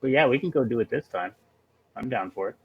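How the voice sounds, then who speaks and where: A man's voice: medium pitch, animated, quick pace, vocal fry, breathy edge, highs cut off. A man playing a tabletop role-playing game, chatting casually and tentatively, circling back over his words.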